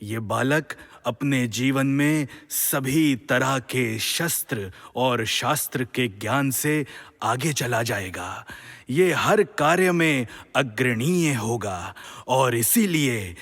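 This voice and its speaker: A man's voice: medium pitch, lively intonation, takes it slowly, a bit bright, exaggerated voice. A man playing a benevolent, solemn ascetic sage who gives blessings in a formal mythological audio drama. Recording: long hall reverb.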